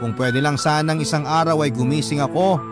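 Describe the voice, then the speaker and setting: Man acting remorseful male narrator, Filipino accent, slightly high pitch, earnest confession, radio drama, clear musical backing. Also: fairly even intonation, a little fast, natural voice. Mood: regretful, resigned. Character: regretful male letter writer confessing his affairs. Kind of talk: scripted first-person radio drama narration. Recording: prominent music behind the narration.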